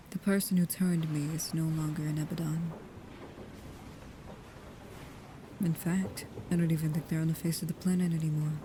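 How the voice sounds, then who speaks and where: A woman playing a guarded, protective vampire with a painful past, speaking slowly, softly and hesitantly, often in whispers, in an intimate ASMR roleplay. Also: deep voice, background noise, close to an everyday voice. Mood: guarded, serious.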